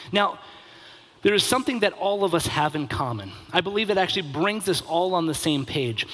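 A man's voice: high voice, a bit bright, poor audio. A man in his thirties giving a conversational sermon as a pastor, earnest, with rhetorical questions and emphatic repetition.

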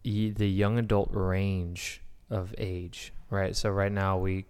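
A man's voice: sits low, flat delivery, takes it slowly, a little mellow, clean tone, in a large hall. A man in his late twenties co-hosting a casual conversation and putting questions to the others. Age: late 20s. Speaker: podcast co-host asking questions.

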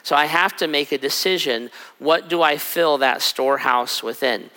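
A middle-aged man preaches in a relaxed, conversational way, now and then slipping into quick acted-out asides. His voice is raspy and bright, a little higher than most men's. A long reverb from the hall trails behind every phrase. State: raspy from a cold trip. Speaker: pastor. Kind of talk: sermon.

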